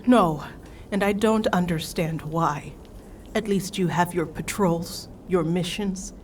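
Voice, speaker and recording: A woman's voice: fairly low pitch, takes it slowly, far from the mic, slight background noise. A woman playing a troubled, isolated warlock leader in a fan audio drama, emotional and pleading. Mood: bitter, weary.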